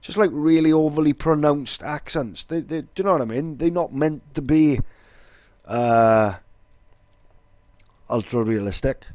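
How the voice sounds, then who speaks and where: A man's voice: a little high, lively intonation, measured pace, a little mellow, no echo. A young man with a Welsh accent, casually explaining in a rambling, sweary way with lots of fillers. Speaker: vlogger.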